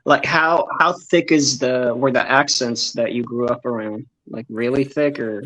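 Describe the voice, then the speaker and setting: A man from Israel, a band member guest, answering interview questions in a thoughtful, hesitant way with many pauses. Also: medium pitch, steady tone, fairly bright tone. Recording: dull lo-fi sound.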